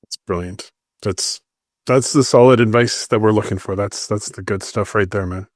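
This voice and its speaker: A man's voice: fairly low pitch, normal speed, dry recording. Male podcast host, dry recording. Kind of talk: casual interview chat.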